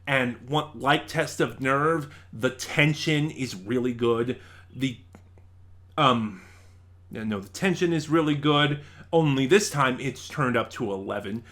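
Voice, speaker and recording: A man giving a casual, enthusiastic review in a ranking countdown, speaking haltingly with emphatic stresses. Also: mid-range voice, a bit bright. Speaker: reviewer and channel host.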